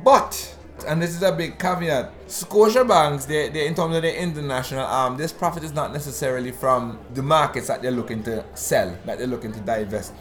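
A man's voice: fairly high pitch, fairly quick, hardly any pauses. Caribbean man explaining banking news. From Eastern Caribbean. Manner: explanatory, frequent restarts and fillers. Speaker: podcast co-host. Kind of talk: conversational analysis.

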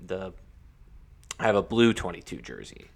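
A young American man co-hosting a podcast, talking casually about sports with a halting, repetitive storytelling manner. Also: deep voice, big pitch swings, vocal fry. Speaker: podcast co-host.

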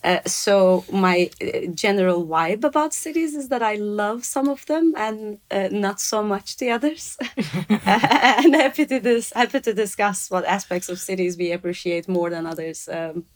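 A woman's voice: medium pitch, measured pace. Woman academic. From Turkey. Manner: friendly, with many ums and uhs. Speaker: professor, podcast guest. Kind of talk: casual podcast chat.

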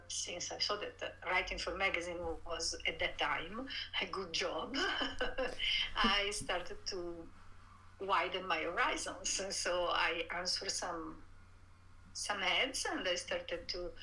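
This is an author from Italy in her middle years, speaking English with an Italian accent. Her voice is bright and forward, sitting at an ordinary pitch for a woman. She chats in a relaxed, talkative way, with frequent little laughs, ums and restarts. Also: poor audio. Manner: laughs often while talking, frequent fillers and restarts. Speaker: author guest. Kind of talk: interview conversation.

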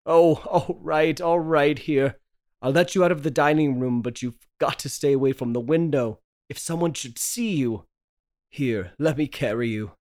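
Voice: mid-range voice, moderate pace, a little mellow, lightly acted. An actor playing a tormented, secretive husband in an old-time radio drama table read, pleading and melodramatic. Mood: coaxing, sorrowful.

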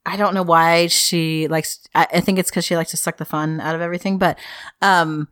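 A woman's voice: fairly low pitch, fairly even intonation, a little fast, a bit bright, clean tone, no room sound, clean studio sound. A woman with a rich voice, co-hosting a podcast in casual, teasing chat. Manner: playful teasing. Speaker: podcast co-host.

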